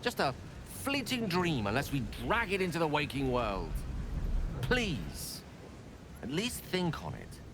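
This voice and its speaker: An actor playing an ambitious, earnest wizard scholar in a video game, eloquent, persuasive and grandiose. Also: male voice, a little high, huge pitch swings, unhurried, noisy background, full character voice. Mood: earnest pleading.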